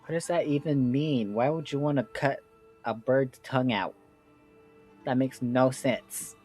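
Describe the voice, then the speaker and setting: Brief: streamer woman, very low voice, wry asides, game music faint behind. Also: unhurried, darkish tone, husky. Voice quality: wry, talks back at the screen. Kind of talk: casual reactions over gameplay.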